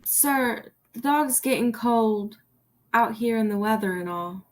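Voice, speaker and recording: Naive, jittery junior cop, played by a teenage girl, higher voice, very unhurried delivery. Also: very noisy, lightly acted. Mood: flustered. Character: nervous, eager, naive rookie police partner. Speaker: student actor. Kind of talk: stage radio play acting.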